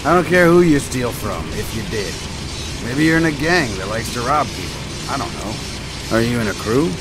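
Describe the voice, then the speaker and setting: An actor playing a brash middle-aged American drifter in a video game, talking in a taunting, cocky, folksy way. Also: male voice, medium pitch, sing-song, normal speed, over music, natural voice. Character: brash, needling redneck drifter in a pickup truck. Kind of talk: naturalistic video game acting.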